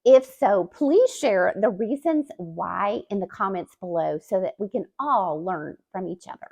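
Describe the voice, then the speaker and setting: A woman explaining straight to camera in the manner of a communication coach. Her pitch swings widely as she talks, landing on key words with emphatic rises. The delivery is scripted but expressive. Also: measured pace, creaky. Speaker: YouTube host and communication coach.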